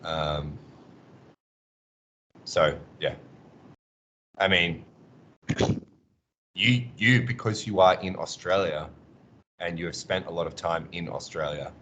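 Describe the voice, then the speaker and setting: A very deep-voiced man teaches over a video call, offering encouraging feedback with frequent ums. A faint rumble sits under his voice. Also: slow, long pauses, sharp tone, poor audio. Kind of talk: giving feedback in an online class.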